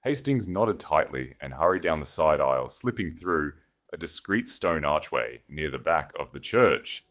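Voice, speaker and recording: A man's voice: extremely low pitch, very animated, moderate pace, a bit bright. A man narrating a scripted story as a dramatic audiobook reader.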